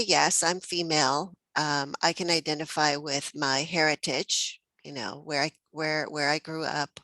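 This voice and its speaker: A woman's voice: sits low, bright, forceful tone, dry recording, rough recording. A woman from Mexico hosting a spiritual text study group, thinking aloud with many fillers and easy laughs. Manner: reflective, many fillers and restarts, laughs often.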